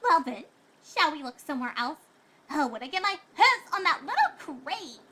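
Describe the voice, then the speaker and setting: A woman's voice: high voice, big pitch swings, normal speed, sharp tone, voice pushed up, heavily acted. A woman voicing a bratty, hot-tempered young filly pony in a snappy, indignant manner for a story reading. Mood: angry, snapping.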